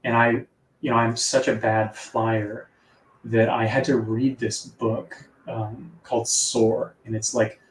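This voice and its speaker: A man with a soft, sleepy-sounding voice, chatting casually as an author guest in a live interview. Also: medium pitch, little pitch movement, takes it slowly. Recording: echoey room, distant from mic.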